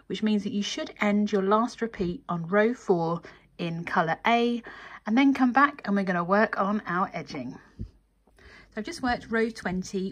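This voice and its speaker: A woman presents a step-by-step craft tutorial at a middling pitch. She explains each stage methodically and counts through it aloud.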